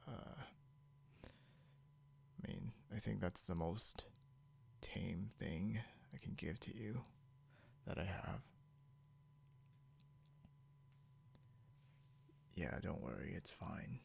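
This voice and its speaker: Very gravelly, low, slow man acting a possessive captor. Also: very animated, full character voice. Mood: grudgingly caring. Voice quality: gravelly, often breaking into whisper. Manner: halting, muttering, condescending. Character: possessive non-human male captor, grudgingly caring, teasing. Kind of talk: ASMR roleplay, intimate second-person acting. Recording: noticeable background hiss or hum.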